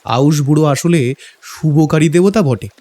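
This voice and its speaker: A man's voice: fairly high pitch, moderate pace, dark tone, lightly acted. A man playing a grave, knowledgeable explainer of village folk belief in a horror audio drama, speaking emphatically. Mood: grave, explanatory. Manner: explanatory, emphatic, rhetorical questions.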